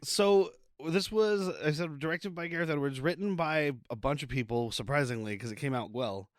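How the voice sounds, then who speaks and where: A man's voice: fairly high pitch, normal speed, no echo, studio quality. A man hosting a casual movie podcast, running through film credits with jokes and laughs. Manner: reads off film credits with quips. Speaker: podcast host.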